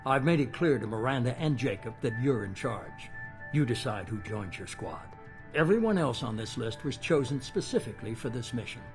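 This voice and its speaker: An actor playing a shadowy, calculating middle-aged leader in a video game, speaking in a measured, controlled, authoritative way. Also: male voice, medium pitch, big pitch swings. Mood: firm, commanding. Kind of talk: cinematic video game acting. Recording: music playing behind the voice.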